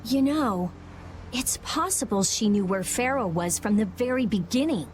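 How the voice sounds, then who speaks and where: Game role: woman as brainy, short-tempered teen girl mage, highish voice, sharply varied intonation, faint ambience. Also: animated, normal speed, darkish tone, soft background music, lightly acted. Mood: resentful. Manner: blunt, testy. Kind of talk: video game voice acting.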